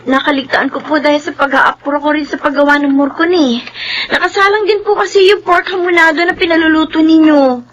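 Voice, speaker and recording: A dutiful young Filipino daughter in a radio soap. Her voice is high-pitched, meek and deferential. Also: a little fast, a bit bright, muffled recording. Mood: apologetic, eager. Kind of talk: Filipino radio drama acting.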